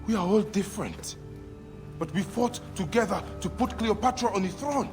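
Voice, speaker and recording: A man's voice: high voice, animated, normal speed. Impassioned, grieving warrior father. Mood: solemn, rousing. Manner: intense, earnest, declamatory. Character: passionate grieving Egyptian warrior father, solemn brotherhood leader. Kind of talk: dramatic video game acting. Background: background music.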